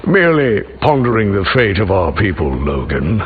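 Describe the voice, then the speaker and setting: A man playing a proud, aging mutant leader in a video game, speaking formally and theatrically with deliberate, grandiose phrasing. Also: on the low side, very animated, narrow, tinny audio, a little hiss or hum. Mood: measured, weighty. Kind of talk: video game character dialogue.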